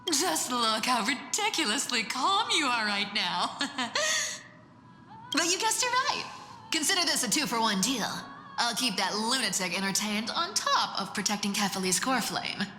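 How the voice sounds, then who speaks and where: A woman's voice: a little high, very animated, normal speed, pushed and bright. An actress playing a teasing female trickster demigod in a game, with a sly, brash, playful way of talking. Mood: scoffing, amused. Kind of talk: dramatic game voice acting. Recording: echoey reverberant hall, music behind.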